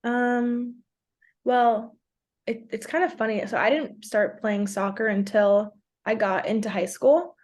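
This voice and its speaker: A woman's voice: a little high, fairly even intonation, takes it slowly, darkish tone. A woman in her twenties from New York, whose first language is Portuguese, chatting casually as a podcast guest and saying "like" a lot. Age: 20s. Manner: chatty, fills speech with "like". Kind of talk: casual interview chat.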